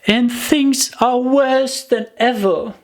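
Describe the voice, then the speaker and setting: Little storybook girl, very high voice, slow drawn-out phrases, plaintive, earnest, self-pitying. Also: steady tone. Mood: dismayed. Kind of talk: children's storybook character voice.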